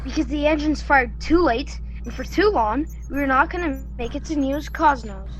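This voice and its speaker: A woman's voice: sits high, moderate pace, highs cut off, close to an everyday voice. A woman playing a blunt, commanding young colonist on a starship in a sci-fi radio play, with clipped delivery. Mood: blunt, grim. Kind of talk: science fiction radio play acting.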